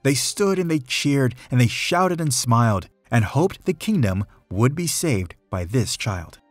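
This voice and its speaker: Expressive man reading a rhyming storybook over faint music. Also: mid-range voice, no echo. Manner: measured, rhythmic storytelling with emphasis. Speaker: storybook reader. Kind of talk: expressive read-aloud of a rhyming children's story.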